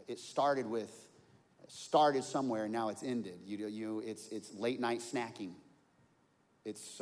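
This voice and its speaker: A pastor in his forties preaching a sermon, telling stories and swinging from quiet asides to loud outbursts. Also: mid-range voice. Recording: long hall reverb.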